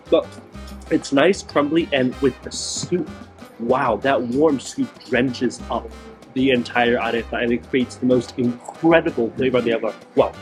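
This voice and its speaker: Hoarse, raspy-voiced man hosting a food vlog. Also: mid-range voice, low-quality recording. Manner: enthusiastic, repeats words for emphasis. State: hoarse from feeling unwell. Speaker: food vlogger host. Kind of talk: casual travel vlog narration. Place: outdoors in a small-town plaza, then a tiny eatery.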